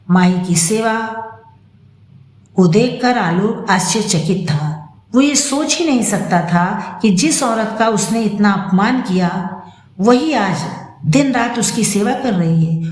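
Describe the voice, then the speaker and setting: Woman reading out a moral story, unhurried n measured, bit of an echoey room. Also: medium pitch, a little mellow. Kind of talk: narrated Hindi moral story with commentary. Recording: roomy, echoing room sound.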